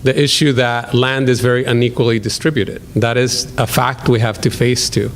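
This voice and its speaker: Hesitant Salvadoran-accented professor. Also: male voice, medium pitch, level tone, moderate pace, a little hiss or hum. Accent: Salvadoran Spanish. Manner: hesitant, many ums and restarts. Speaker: professor introducing a speaker. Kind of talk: lecture hall introduction.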